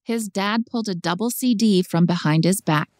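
Woman reading an audiobook story, soft mellow tone, very dry studio sound. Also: mid-range voice, moderate pace, no echo. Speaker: audiobook narrator. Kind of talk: scripted story narration.

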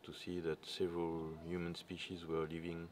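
A man's voice: low voice, monotone, normal speed. A man in his forties from France with a French accent, answering interview questions as an archaeologist. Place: open cave mouth at a dig site. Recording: noisy outdoor field recording.

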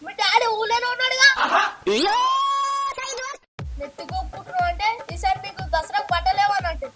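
A woman plays a nosy, gossipy friend with a Telangana Telugu accent. Her voice is very high and quick, with a teasing sing-song edge. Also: sing-song, over music. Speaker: comedy skit actor.

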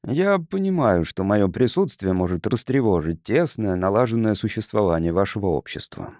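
A man's voice: on the low side, lively intonation, moderate pace, a little mellow, no room sound, studio quality. A man voicing a courteous, curious visiting sociologist in an audiobook, polite and inquisitive. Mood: probing. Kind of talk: audiobook character reading.

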